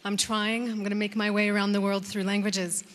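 A woman's voice: mid-range voice, flat delivery, brisk. A woman in her thirties with an American accent giving a conversational conference talk, with frequent "um"s and restarts. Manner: warm conversational, many "um"s and restarts. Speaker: conference speaker. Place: large conference hall. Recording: reverberant hall.

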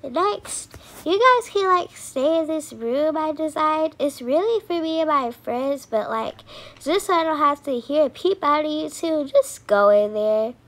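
A woman's voice: high voice, lively intonation, measured pace. A woman voicing a snooty, bossy young fiancée in an amateur cartoon doll story, with haughty phrasing. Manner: haughty, valley-girl phrasing. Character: snooty, bossy young fiancée, mean behind false sweetness. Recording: clearly noisy home recording.

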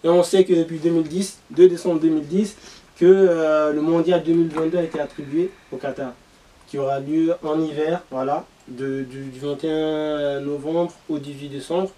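A young man with a fairly high-pitched voice talks as a panelist in a casual discussion. He sounds a little way off from the microphone in a room. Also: steady tone, moderate pace, warm tone. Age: young adult. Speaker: panelist presenting a football segment. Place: small meeting room around a table. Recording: distant room mic.

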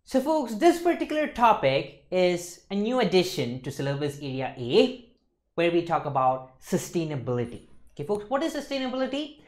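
Animated young Indian-accented man lecturing. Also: high-pitched, normal speed. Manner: emphatic, with frequent checking tags like "okay, folks". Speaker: accountancy exam tutor. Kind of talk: instructional lecture to camera. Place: studio.

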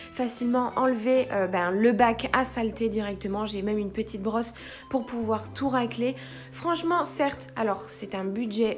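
A woman in her twenties, a young mother, chatting through a cleaning vlog voice-over and praising a product with enthusiasm. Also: on the high side. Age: 20s. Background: faint background music.

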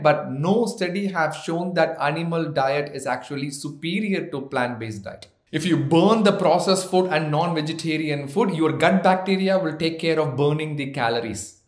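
A man in his thirties from India with an Indian accent, giving health advice to camera as a doctor, listing points and slipping in light jokes. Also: on the high side. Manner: gently joking, lists points one by one. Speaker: doctor, health channel host. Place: office with framed certificates, indoors. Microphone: seated, medium shot to camera. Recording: echoey office room.

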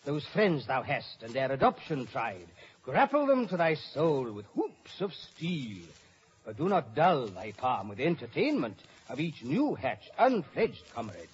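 A man's voice: mid-range voice, very animated, unhurried. An actor playing a pompous, fussy old father and counsellor, lecturing emphatically in radio Shakespeare style. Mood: fussy, sententious. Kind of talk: 1950s radio Shakespeare acting. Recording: muffled, noisy old radio broadcast.

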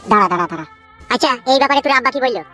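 A man's voice: extremely high pitch, lively intonation, racing, sharp tone, faint music behind, heavily acted. A man voicing a nosy, sympathetic young village friend in a comic cartoon, chummy. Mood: curious.

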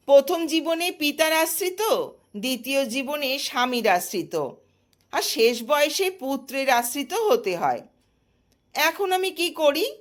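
Woman voicing a high, plaintive young goddess. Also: exaggerated voice. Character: distressed young goddess, dutiful daughter and weary wife.

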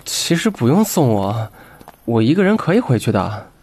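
A young man playing a gentle, shy student quietly in love, soft-spoken and wistful, in an audio comic drama. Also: medium pitch, sing-song, moderate pace, slight background noise.

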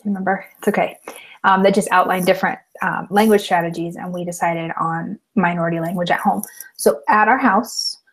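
A woman's voice: on the low side, fairly even intonation, brisk, husky, poor audio. A woman in her thirties from Colorado, co-hosting a podcast in casual chat and laughing often, recorded through an earbud headset on a video call from a small room at home. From Colorado, United States. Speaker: podcast co-host.

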